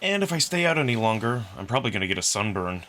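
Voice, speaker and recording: A man's voice: lowish voice, big pitch swings, quick pace, bright, forceful tone, a little hiss or hum. A man playing a wry, easygoing young human in a visual novel, talking casually and with some self-deprecation. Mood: tired. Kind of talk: visual novel character acting.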